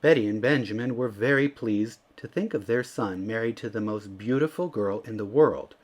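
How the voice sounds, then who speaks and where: A man reading a children's story aloud in an expressive storytelling style. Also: lowish voice, moderate pace. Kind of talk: expressive scripted storybook narration.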